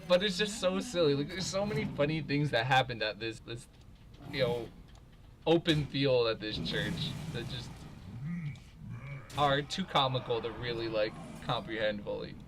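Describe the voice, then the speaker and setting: A man's voice: medium pitch, moderate pace. Young man rambling casually over background noise. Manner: rambling, peppered with "like" and "you know". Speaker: gamer, co-host. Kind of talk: casual storytelling over gameplay.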